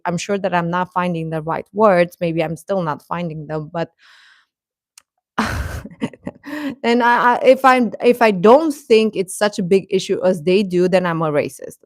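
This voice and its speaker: A woman hosting a casual, opinionated live chat, speaking haltingly and defensively with repeated words. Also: fairly low pitch, dry recording, clean studio sound. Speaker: livestream host and commentator.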